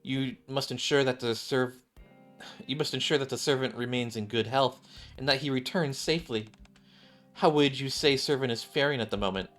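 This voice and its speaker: A stern but reassuring woman squad leader from a game, voiced by a man. The delivery is measured and formal, with calm command. Music plays behind. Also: medium pitch, natural voice.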